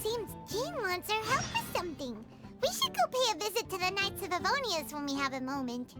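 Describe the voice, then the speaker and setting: A woman voicing a tiny, childlike fairy companion in a video game, perky and chatty with a squeaky voice. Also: very animated, a little fast, over loud music. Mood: curious. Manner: perky, refers to itself by name. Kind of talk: video game character voice acting.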